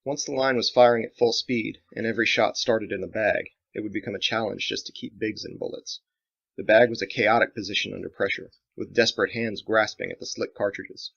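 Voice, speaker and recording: A man's voice: fairly low pitch, fairly even intonation, fairly quick, creaky, a bit bright. A man reading his own dramatic action novel as scripted audiobook narration, in a slightly dull recording.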